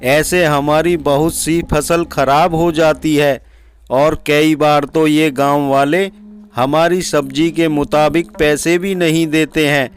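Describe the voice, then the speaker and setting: A man's voice: on the high side, little pitch movement, slow. A man voicing a weary, complaining village farmer in a cartoon story, plaintive and burdened. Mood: worried complaining. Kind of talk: Hindi cartoon story dialogue.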